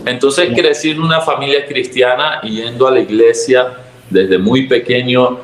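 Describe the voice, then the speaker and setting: A man's voice: mid-range voice, steady tone, a little slow, reverberant, a little hiss or hum, poor audio. A man in his thirties from Venezuela with a Venezuelan Spanish accent, a pastor telling his testimony in an earnest, reflective way. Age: 30s. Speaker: pastor, guest. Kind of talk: testimony interview.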